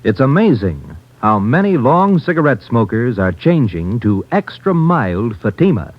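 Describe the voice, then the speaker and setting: A man's voice: very animated, a little slow. A man delivering emphatic 1950s radio commercial copy. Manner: emphatic sales pitch. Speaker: commercial announcer. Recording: dull lo-fi old radio recording.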